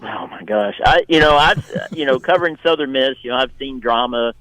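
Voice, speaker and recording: A man giving his take as a guest journalist in an unscripted sports radio interview, conversational and halting, restarting phrases as he goes. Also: medium pitch, fairly bright tone, no room sound, low-quality recording.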